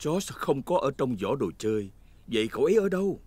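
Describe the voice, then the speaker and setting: A man's voice: mid-range voice, sing-song, a little fast, heavy background noise, close to an everyday voice. A man narrating a preschool cartoon as a friendly storyteller for small children. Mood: storytelling.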